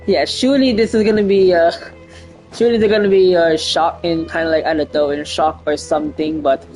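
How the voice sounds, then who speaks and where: A woman's voice: lowish voice, fairly even intonation, a little fast, a little mellow, faint music behind, rough recording. A woman giving casual playthrough commentary on a video game, thinking aloud in a rambling, hesitant way.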